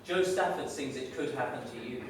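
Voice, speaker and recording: A man's voice: mid-range voice, a little fast, noisy background. A man reading stage directions as scripted narration at a staged reading. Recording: very echoey room, distant microphone.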